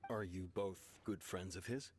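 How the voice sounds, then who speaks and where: An actor playing a sober, skeptical police officer in a game, speaking in a measured, frank, matter-of-fact way. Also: male voice, sits low, over loud music, lightly acted. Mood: grave. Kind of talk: anime game voice acting.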